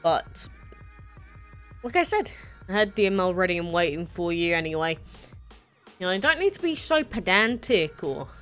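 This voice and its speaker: A woman's voice: on the low side, expressive, normal speed, long pauses, fairly bright tone, clean tone, faint music behind. Chatty teen girl streaming. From England. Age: late teens. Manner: chatty, rambling, frequent "uh" and "basically". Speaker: live streamer. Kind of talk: casual live-stream chat, reading chat aloud.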